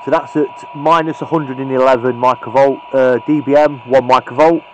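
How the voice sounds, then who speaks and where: A man's voice: medium pitch, fairly even intonation, brisk, slight background noise. Blunt man, dull lo-fi sound. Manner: blunt, matter-of-fact, chatty. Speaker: hobbyist radio repairer demonstrating. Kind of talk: informal hands-on tutorial, off the cuff. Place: indoors at a cluttered workbench. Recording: dull, lo-fi camera audio.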